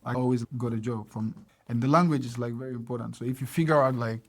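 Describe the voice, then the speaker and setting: A man in his thirties from Ghana, a podcast guest telling his story in a lively, casual way, acting out conversations. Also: mid-range voice, quick pace. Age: 30s. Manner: animated storyteller, acts out dialogue with laughs.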